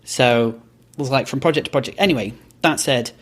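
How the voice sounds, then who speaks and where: A man of middle pitch who grew up in Belgium, appearing as a podcast guest. He tells his story at length in a measured, reflective manner. He backtracks now and then to fill in details. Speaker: podcast company founder, guest. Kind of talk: casual podcast interview.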